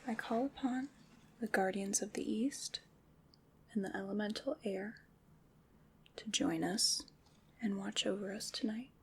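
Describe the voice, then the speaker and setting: A woman playing a calm witch in an ASMR roleplay, leading a ritual in a hushed, soothing, deliberate whisper. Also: medium pitch, slightly rough. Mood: solemn, incantatory.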